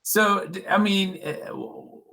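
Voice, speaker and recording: Host: man, bright, high-ish voice, rambling halting questions. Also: gravelly. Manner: rambling, halting, many fillers and restarts. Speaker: podcast host. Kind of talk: interview questions.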